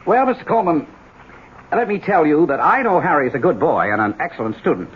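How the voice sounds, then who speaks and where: A man's voice: fairly high pitch, big pitch swings, a little fast, a little hiss or hum. A man playing an awkward, apologetic school gym teacher in a 1970s radio drama, hesitant and nervously formal. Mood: apologetic, uneasy. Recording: muffled, husky-sounding old radio recording.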